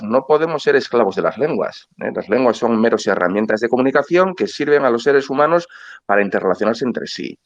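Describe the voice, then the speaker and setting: A man's voice: mid-range voice, dry recording. A man on a video call arguing his point emphatically as a debate panelist, repeating words as he restarts. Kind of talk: online panel discussion. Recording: dry, dull lo-fi video call audio.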